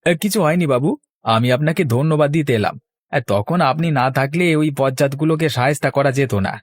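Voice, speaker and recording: A man's voice: on the high side, a little fast, no room sound, clean studio sound, natural voice. A man voicing a sly, greedy village man in a cartoon, with a wheedling, insinuating manner. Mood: ingratiating. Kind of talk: cartoon voice acting.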